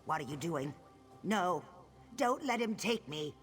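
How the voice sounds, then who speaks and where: A woman's voice: fairly low pitch, lively intonation, a little slow, loud music behind the voice. An actress playing a terrified, defiant female lizard-person captive in a video game.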